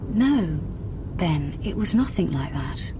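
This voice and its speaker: A woman's voice: sits low, big pitch swings, slow, heavily acted. An actress playing an evasive, strained mother in a naturalistic audio drama, speaking hesitantly to her child. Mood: defensive, strained. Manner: hesitant, hushed at times. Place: recorded on location. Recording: noisy location recording, traffic ambience.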